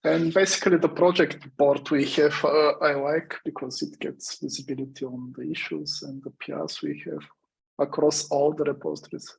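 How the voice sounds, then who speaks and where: A man giving a casual technical status update on an online call in halting phrases. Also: fairly high pitch, gravelly, husky, poor audio. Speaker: working group member giving a status update.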